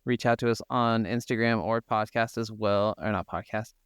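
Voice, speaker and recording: A man's voice: lowish voice, steady tone, normal speed, clear, resonant tone, dry recording. Clean, close-sounding casual American man. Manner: informal, rambling, frequent "like". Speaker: podcast co-host. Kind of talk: casual gaming chat.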